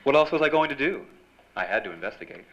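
An actor playing a wisecracking, hardboiled newspaper reporter in a 1940s-style noir radio drama. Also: male voice, medium pitch, animated, fast talker, natural voice. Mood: wry, narrating. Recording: dull, faintly noisy stage recording.

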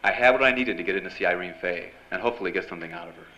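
A man's voice: deep voice, racing, natural voice. An actor playing a wisecracking, hardboiled newspaper reporter in a noir radio drama, with wry tough-guy slang. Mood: wry narration. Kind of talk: noir radio drama acting with first-person narration. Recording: dull, noisy live radio-play recording.

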